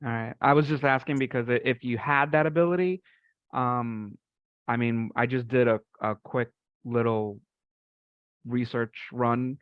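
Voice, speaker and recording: A man's voice: medium pitch, steady tone, measured pace, clear, resonant tone, dry recording. A man casually explaining something technical on a group call, with a polite, tentative manner. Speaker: participant in a software community call. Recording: dull, band-limited online call audio.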